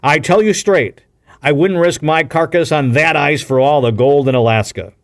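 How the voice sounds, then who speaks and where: A man's voice: fairly high pitch, normal speed, voice pushed up, lightly acted. A man voicing a blunt, principled gold rush outdoorsman in an audiobook, emphatic and plain-spoken. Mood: scornful.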